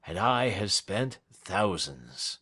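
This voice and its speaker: A man voicing a moody, impatient ruined gentleman who speaks in a quiet, brooding way. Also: sits low, very animated, slow pace, slightly rough, full character voice.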